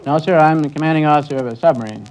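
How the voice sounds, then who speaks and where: A man with a slightly high voice answers as a quiz contestant, courteous and measured with small hesitations. It is an old broadcast recording with faint noise behind. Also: a little mellow, poor audio. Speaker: contestant, naval officer. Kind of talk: question-and-answer interview.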